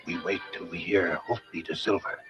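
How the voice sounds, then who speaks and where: An actor playing a brave, terse male sidekick in an old radio western, speaking hushed, halting broken English. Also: sits low, steady tone, natural voice. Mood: urgent whisper. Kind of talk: old-time radio serial acting. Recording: dull vintage radio, faint music.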